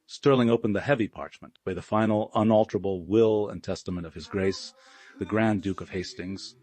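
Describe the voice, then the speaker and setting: A man's voice: on the low side, a little fast, a little mellow, no echo. A man reading a scripted story as a dramatic audiobook narrator.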